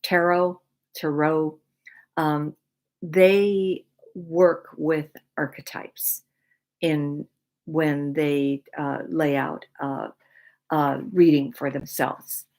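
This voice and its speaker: An American woman hosting her own video, explaining things reflectively to viewers in deliberate, halting phrases with pauses. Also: deep voice, little pitch movement, darkish tone. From Michigan, United States (born in Poland). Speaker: video series host.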